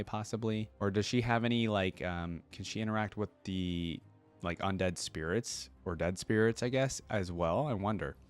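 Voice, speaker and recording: A man's voice: lowish voice, clean tone. A man hosting a reaction video, casually thinking aloud and reading bits of text out with conversational commentary.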